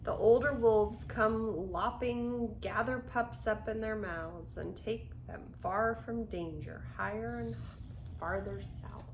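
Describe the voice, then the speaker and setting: An older woman reading a picture book aloud in an expressive, unhurried way, with chatty asides to young listeners. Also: medium pitch. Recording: roomy echo, faint background noise.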